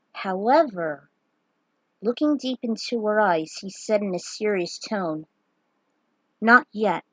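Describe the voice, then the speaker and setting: A woman reading her own fan fiction aloud as a storyteller, earnest and unhurried, with small stumbles. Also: mid-range voice, no echo, poor audio.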